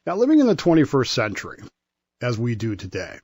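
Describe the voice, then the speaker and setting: Male American radio history co-host, wry and measured, close dry sound. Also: medium pitch, huge pitch swings, darkish tone, breathy edge, no room sound, muffled recording. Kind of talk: casual radio talk.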